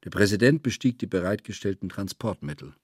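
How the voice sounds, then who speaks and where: An actor playing a terse, bitter Soviet insider answering questions in a radio play interview, speaking emphatically. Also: male voice, fairly low pitch, brisk, slightly rough, no room sound, studio quality. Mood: terse, assured.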